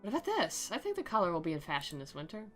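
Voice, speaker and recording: A woman voicing a sheepish, eager-to-please anime schoolgirl in a soft, pleading, questioning way for a visual novel reading. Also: fairly low pitch, wildly swooping pitch, rapid-fire, soft background music.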